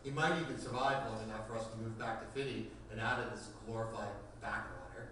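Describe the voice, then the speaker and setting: A man's voice: on the low side, fast talker, a bit bright, close to an everyday voice. A man acting in a table read as a smug, theatrical finance executive, mocking, profane and flippant. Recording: roomy, distant mic, faint noise.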